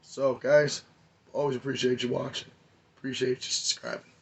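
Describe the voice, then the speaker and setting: A man, hoarse and losing his voice, giving a rambling, enthusiastic hobby update on his model car builds. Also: mid-range voice, level tone, normal speed. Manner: rambling, enthusiastic, many fillers.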